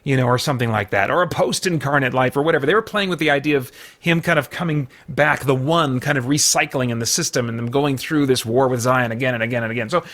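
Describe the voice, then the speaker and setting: A man's voice: mid-range voice, fast, few pauses, fairly bright tone. A middle-aged man giving a casual, opinionated film review, rambling informally as he thinks aloud. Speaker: reviewer and show host.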